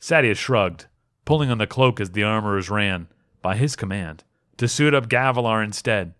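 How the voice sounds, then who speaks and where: A man reading a fantasy story aloud as dramatic audiobook narration, expressive and with deliberate pauses. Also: on the low side, clean tone, no echo, clean studio sound. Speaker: amateur reader, a fan.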